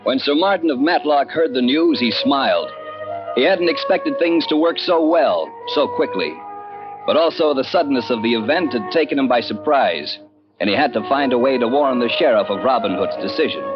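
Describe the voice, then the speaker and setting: A man's voice: medium pitch, animated, normal speed. A man giving dramatic scripted narration for an old-time radio play. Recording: dull old radio broadcast. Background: music.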